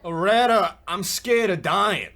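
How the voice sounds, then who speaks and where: A man playing a fearful, halting, overwrought character in a hammy horror radio play. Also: high voice, lively intonation, sharp tone, exaggerated voice. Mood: frightened. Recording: clearly noisy recording.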